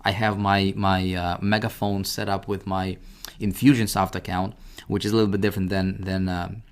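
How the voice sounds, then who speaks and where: A man presenting a casual, informal software tutorial, talking directly to the listener and often checking that they follow. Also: low voice, level tone, normal speed. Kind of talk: casual screen-share product walkthrough.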